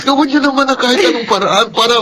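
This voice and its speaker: An actor playing a desperate, impatient young jailed son, whining urgently in a radio drama style. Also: male voice, very high-pitched, fast. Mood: impatient, alarmed. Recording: clearly noisy background.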